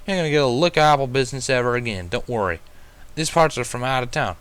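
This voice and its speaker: A man's voice: mid-range voice, fast, a bit bright, clean tone, close to an everyday voice. A man voicing a friendly, hardworking female farm pony with plainspoken, folksy country phrasing in a storybook reading. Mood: irked, firm.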